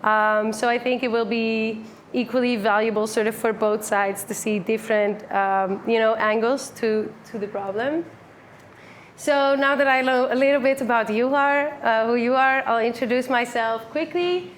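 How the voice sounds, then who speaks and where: Woman, twenties, raised in the Netherlands; upbeat casual presenter, bright higher register, live room. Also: fairly even intonation, moderate pace, slight background noise. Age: 20s. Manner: upbeat, chatty, many fillers like "like" and "um". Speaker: meetup speaker. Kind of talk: casual conference talk. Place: full room with a live audience.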